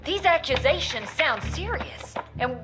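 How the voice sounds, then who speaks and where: Tactful aide under loud music. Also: female voice, sits high, animated, a little slow. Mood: nervous diplomacy. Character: tactful, deferential female aide. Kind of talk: video game cutscene acting.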